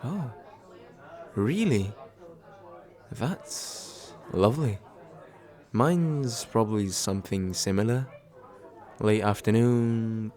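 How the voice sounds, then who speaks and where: A man playing a tender, nervous, attentive date in a soft, intimate ASMR roleplay, halting and gently self-deprecating with small stammers. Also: lowish voice. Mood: shy, gentle. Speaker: voice actor in an audio roleplay.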